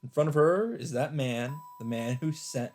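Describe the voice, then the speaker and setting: A man's voice: mid-range voice, moderate pace, a little mellow, slight background noise. A man hosting a let's play, reading a visual novel's narration aloud in a dramatic storytelling style. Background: game music.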